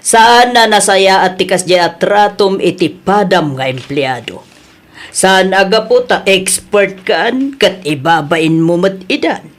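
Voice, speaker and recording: A Filipino woman playing a patient but firm boss in an audio drama, measured, often humming thoughtfully before she answers. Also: medium pitch, expressive, lightly acted. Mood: stern, reproving. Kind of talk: acted audio comedy-drama dialogue.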